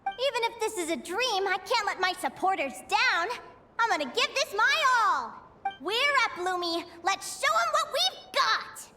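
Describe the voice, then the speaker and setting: A woman voicing an excitable, sweet young female adventurer in a video game, expressive and girlish. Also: extremely high pitch, unhurried, full character voice. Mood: puzzled, eager. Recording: music behind the voice.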